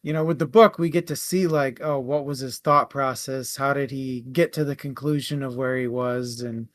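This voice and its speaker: A man co-hosting a book club show, discussing casually in a halting, conversational way. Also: mid-range voice, steady tone.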